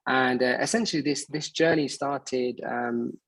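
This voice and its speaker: A man's voice: mid-range voice, fairly even intonation, a little slow, fairly bright tone, no room sound, poor audio. A man in his early thirties, from Bangladesh and raised in England, hosting an online meeting in a casual, hesitant way with many fillers and restarts. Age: 30s. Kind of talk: casual presentation on a video call.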